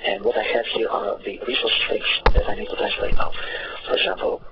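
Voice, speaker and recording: A man from Croatia with a Croatian accent gives an unscripted, halting software tutorial as a non-native speaker. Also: mid-range voice, very animated, vocal fry. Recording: dull, noisy, distant-sounding recording.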